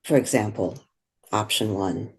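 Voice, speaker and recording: A meditation guide speaking in a gentle, soothing, unhurried way, giving slow instructions with long pauses. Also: mid-range voice, slightly rough.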